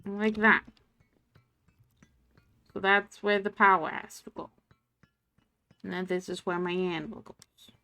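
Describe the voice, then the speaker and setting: A German woman speaks English with a blended, hard-to-place accent, chatting to viewers as she plays. She talks slowly and murmurs her way through tasks. Soft music plays faintly behind her. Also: steady tone. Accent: mixed English accent, no clear origin. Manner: slow, thinking aloud while playing, low-energy murmurs. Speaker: streamer. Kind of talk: casual game livestream chat.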